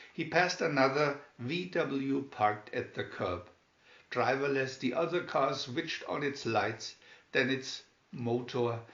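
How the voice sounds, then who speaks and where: A male narrator reads an adventure storybook slowly and deliberately, at a medium pitch. The sound is somewhat muffled, with clear room echo around the voice. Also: fairly bright tone. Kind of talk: reading a children's adventure story aloud. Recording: dull, echoey room recording.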